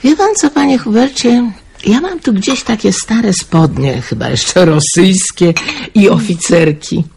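A warm, middle-aged countrywoman in a wartime radio play. She speaks at a medium pitch with a wistful air, over faint noise. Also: expressive, measured pace, gravelly. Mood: friendly, practical. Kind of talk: Polish radio drama acting.

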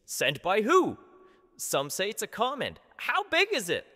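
A man's voice: high voice, wildly swooping pitch, unhurried, pushed and bright, heavily acted. A man playing an exasperated but reasonable Canadian committee member in an audio drama. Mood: earnest. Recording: echoey hall.

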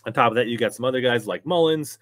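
A man in his thirties talks through his picks in an easygoing, upbeat way, gesturing as he goes. His voice sits in an ordinary middle pitch. The sound is dry and close, with no room echo. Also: fast talker, fairly bright tone. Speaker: fishing channel host. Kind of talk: casual fantasy fishing picks rundown. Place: small home studio with wood-plank wall.

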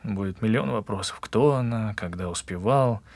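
An actor playing an evasive, self-justifying husband in a naturalistic audio drama, speaking in a halting, husky way. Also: male voice, on the low side, darkish tone. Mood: brooding. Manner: halting, pausing mid-confession. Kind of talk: naturalistic audio drama with murmured inner monologue.

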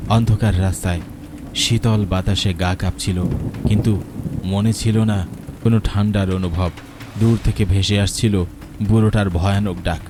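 A man's voice: lowish voice, fairly even intonation, normal speed, long pauses, noisy background. Dramatic male horror narrator. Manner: dramatic, fearful, stammering.

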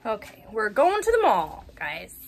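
A woman in her thirties talks casually to her phone as a family vlogger. Her voice sits a little high, and the phone is held at arm's length. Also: huge pitch swings, unhurried, heavy background noise. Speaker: family vlogger, mother of young children. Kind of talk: casual chat to camera.

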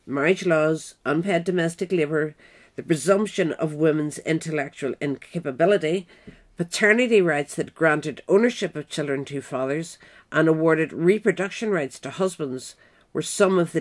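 A narrator reading a scripted essay in a measured, deliberate manner. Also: medium pitch. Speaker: narrator, reader.

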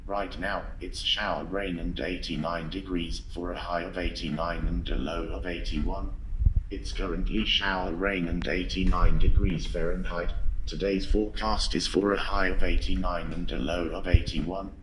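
A synthetic male text-to-speech assistant giving formal, measured replies through a small desktop speaker. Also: deep voice, fairly even intonation, fairly bright tone. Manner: formal, robotic, measured phrasing. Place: played from desktop smart speaker indoors. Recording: noisy, echoey small speaker captured by phone.

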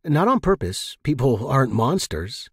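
A man's voice: mid-range voice, big pitch swings, unhurried, husky, dry recording, clean studio sound, exaggerated voice. A man voicing a nervous, fragile male space hermit in audiobook dialogue, hesitant and defensive.

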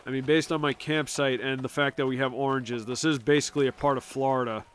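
Man, game streamer, sniffly, casual joking chatter, middle pitch. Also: fairly even intonation, fast talker, slight background noise. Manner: chatty, jokey, talks to himself and game characters. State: sniffly from allergies. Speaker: gaming streamer. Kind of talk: casual gameplay commentary.

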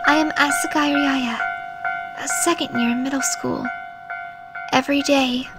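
A woman voicing a bullied, despairing middle school girl in a manga dub, soft and hesitant, with loud music behind her. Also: high-pitched, steady tone, unhurried. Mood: gloomy. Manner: hesitant, melancholy. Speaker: voice actor. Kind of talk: fan manga dub narration.

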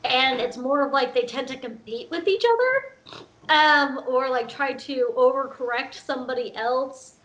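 A woman's voice: high voice, a little slow, fairly bright tone. A woman on an online panel, speaking casually and opening her turns with hesitant fillers. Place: home room. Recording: muffled video call audio.

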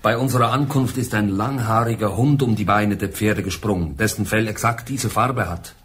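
A man's voice: on the low side, normal speed, a bit bright, lightly acted. An actor playing an earnest young assistant, a bit unsure when he speaks up. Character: sergeant's young son-in-law, earnest assistant.

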